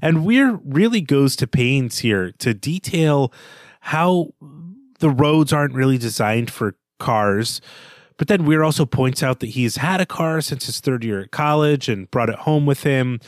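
A man's voice: mid-range voice, lively intonation, unhurried, dry recording, clean studio sound. A man co-hosting a book podcast, giving a thoughtful, analytical reading of a novel. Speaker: podcast co-host. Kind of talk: literary analysis in casual podcast talk.

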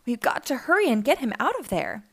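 A woman plays a keen boy driver in a children's storybook. The voice is high and lively, earnest and eager in manner. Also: a little fast, breathy edge, exaggerated voice. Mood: urgent.

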